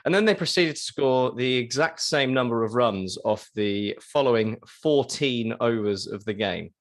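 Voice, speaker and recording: Male podcast co-host, mid-pitched, relaxed and dry, quick with deadpan jokes. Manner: dry, joking asides, relaxed. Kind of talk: casual chat.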